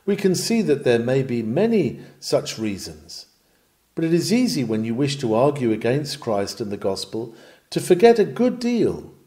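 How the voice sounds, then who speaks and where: A man's voice: mid-range voice, very animated, normal speed, mellow. A man reading a historic sermon aloud in an earnest, oratorical and rhetorical manner, with emphatic delivery.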